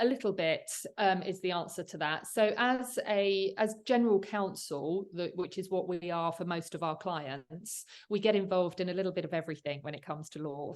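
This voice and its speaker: Earnest woman solicitor, slightly low voice. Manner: earnest, explanatory, with frequent fillers and restarts. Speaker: commercial solicitor and guest. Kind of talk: conversational interview.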